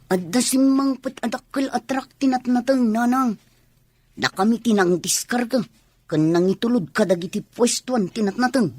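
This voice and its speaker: An actress playing a caring, hardworking young son or daughter in an Ilocano radio drama. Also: female voice, on the high side, moderate pace, darkish tone, breathy edge, no echo. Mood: caring, reassuring. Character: dutiful, caring, hardworking young child of ailing mother.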